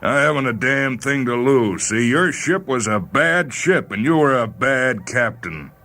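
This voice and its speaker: A man's voice: mid-range voice, lively intonation, unhurried, pushed and bright, voice pushed up. A man voicing a bitter, mutinous spaceman who sneers and goads in a dramatized story reading. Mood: bitter defiance. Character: bitter, taunting, mutinous male spaceman on radio.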